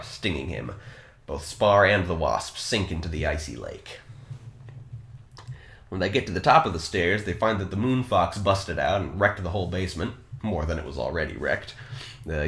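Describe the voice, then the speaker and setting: A man's voice: low voice, a bit bright, echoey room. A man hosting a podcast, retelling a story and chatting casually, earnest and a little self-conscious. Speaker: podcast host. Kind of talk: casual podcast narration and chat. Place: room in a home.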